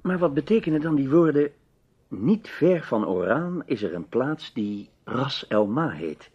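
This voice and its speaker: A man acting a keen, methodical detective in an old Dutch radio drama, probing and deliberate, on a dull recording. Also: mid-range voice, big pitch swings, a little mellow, husky, heavily acted. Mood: grave, deliberate. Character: keen, methodical amateur detective piecing a mystery together.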